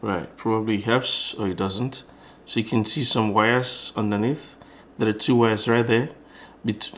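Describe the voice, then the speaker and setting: Low-pitched man explaining a hands-on tutorial. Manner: explanatory, conversational, frequently rephrasing. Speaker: electronics tutorial presenter. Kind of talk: informal hands-on tutorial narration.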